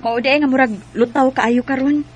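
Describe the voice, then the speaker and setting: A friendly female coworker, chatty and concerned. Her voice is high but husky, in a slightly muffled radio drama recording. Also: steady tone, normal speed.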